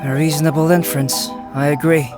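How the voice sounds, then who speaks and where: A man voicing a calm young scholar in a video game, measured, detached and precise. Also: fairly high pitch, over music, close to an everyday voice. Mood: calm analysis. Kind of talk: animated video game voice acting.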